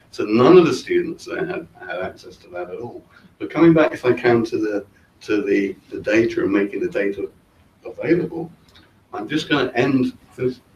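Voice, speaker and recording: A male lecturer on a discussion panel, dry and ironic, often halting and restarting. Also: fairly low pitch, darkish tone, lo-fi sound. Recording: echoey room, distant mic, faint noise.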